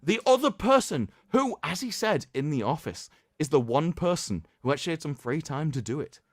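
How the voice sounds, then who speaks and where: A man's voice: fairly high pitch, big pitch swings, moderate pace, no room sound, clean studio sound. A man hosting a stream, giving a sarcastic, emphatic dramatic reading with mocking commentary. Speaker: streamer host.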